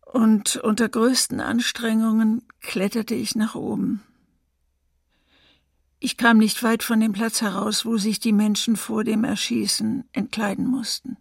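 A woman's voice: fairly high pitch, level tone, a little slow, creaky, slightly rough, dry recording, clean studio sound, exaggerated voice. A woman playing a massacre survivor giving grave, restrained testimony in a documentary radio drama. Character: woman survivor of a wartime massacre recounting her escape.

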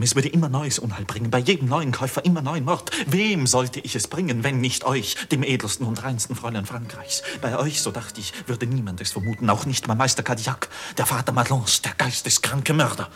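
An actor playing an anguished young goldsmith's journeyman in a 1950s German radio drama, with husky, declamatory delivery that moves from hushed to impassioned. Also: mid-range voice, brisk, lightly acted. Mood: earnest, subdued. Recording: muffled vintage 1950s radio recording.

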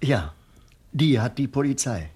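A man's voice: medium pitch, big pitch swings, measured pace, a little mellow, slightly rough. An actor playing a polite, matter-of-fact male company insider in a 1970s radio crime play.

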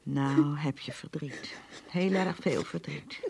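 An actress playing a world-wise middle-aged mother in a radio play, speaking in a husky, gentle, consoling way. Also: low-pitched, takes it slowly, heavily acted. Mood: consoling, tender.

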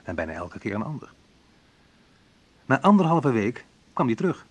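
An actor playing a reflective man in a naturalistic radio drama, talking in a conversational, hesitant, wry way with a husky voice. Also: mid-range voice, sing-song, normal speed. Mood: wry, nostalgic. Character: Menno, reflective man recalling a school friend. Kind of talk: naturalistic radio drama monologue and phone talk.

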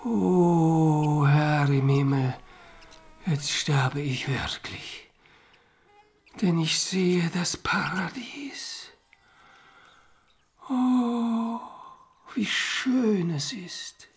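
Slow, creaky old peasant. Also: male voice, fairly high pitch, full character voice. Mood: dying, enraptured. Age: older. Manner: rambling, colloquial, with gasped breathy phrases. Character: dying old peasant father, earthy, wine-loving, blissful. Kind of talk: radio drama acting.

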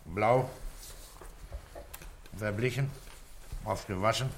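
An actor playing a calm, methodical police commissioner in a German radio play, asking terse questions and giving quiet orders. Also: male voice, lowish voice, slow pace, a little hiss or hum. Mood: pondering, methodical. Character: calm, methodical male police commissioner leading a murder case.

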